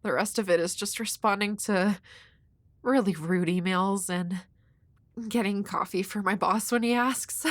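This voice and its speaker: A woman playing a shy, flustered young neighbor in an intimate audio roleplay, speaking nervously and haltingly to the listener. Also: mid-range voice, no echo, clean studio sound, lightly acted. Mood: shyly chatty. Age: young adult. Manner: nervous, halting, hesitant with stammers. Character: shy, flustered young woman next door, awkward and sweet.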